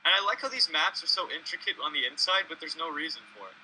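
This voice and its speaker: A man's voice: a little high, expressive, quick pace, pushed and bright, a little hiss or hum, low-quality recording. Joking young man gaming with friends. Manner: joking, swearing, playful. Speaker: gamer. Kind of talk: casual gaming chat with friends.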